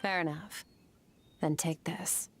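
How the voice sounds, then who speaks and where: A woman voicing a stoic, aloof young warrior in a video game, cool, terse and deadpan. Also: sits low, takes it slowly, a little hiss or hum. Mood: cool, detached. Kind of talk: video game character acting.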